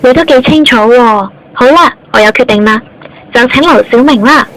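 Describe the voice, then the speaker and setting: Woman acting high-voiced formal Hong Kong Cantonese interviewer. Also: normal speed, a bit bright, lightly acted, rough recording. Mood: official, decisive. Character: formal female office interviewer, later a hostage. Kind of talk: amateur radio drama acting.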